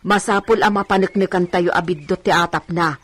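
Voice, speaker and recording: A woman's voice: lowish voice, fairly even intonation, fairly quick, a bit bright. A woman playing an anxious, persistent older village servant in a radio drama, earnest and coaxing. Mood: anxious, urging.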